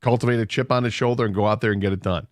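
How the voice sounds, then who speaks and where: A man co-hosting a sports talk radio show, arguing his points in a measured way. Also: lowish voice, steady tone, no room sound, studio quality. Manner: measured, argumentative. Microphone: studio microphone.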